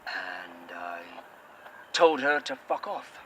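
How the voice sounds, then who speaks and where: A man's voice: sits low, very animated, takes it slowly, a bit bright, faint music behind, heavily acted. An actor playing a curt, sarcastic man who swears freely. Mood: bitter. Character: bitter, sweary, sardonic man, weary. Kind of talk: audio drama acting.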